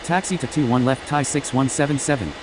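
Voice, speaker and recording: Man making noisy aviation radio calls. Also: brisk. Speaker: airline pilot on air traffic radio. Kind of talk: scripted radio phraseology. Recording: loud noisy rumble and hiss.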